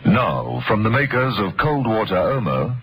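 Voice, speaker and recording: A man's voice: on the low side, sing-song, moderate pace, gravelly, heavy background noise. A man announcing adverts and programme credits in a vintage radio style. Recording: old radio broadcast with loud music. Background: loud music.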